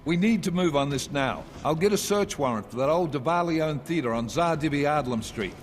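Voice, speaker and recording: An actor playing a brisk, authoritative police task force chief in a video game. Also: male voice, on the high side, expressive, a little hiss or hum, close to an everyday voice. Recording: game cutscene with score.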